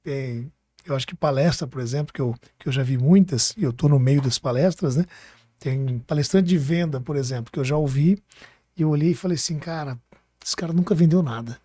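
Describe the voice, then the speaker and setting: Mellow, higher-pitched Brazilian man. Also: brisk, no echo. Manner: colloquial, seeks agreement with tag questions. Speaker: podcast host. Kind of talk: casual chat, interviewing.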